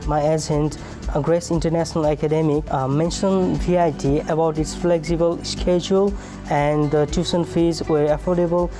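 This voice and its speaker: A man's voice: a little high, flat delivery, moderate pace, hardly any pauses, music in the background. A young man from Nepal, a recent graduate, giving an earnest testimonial. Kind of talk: scripted testimonial.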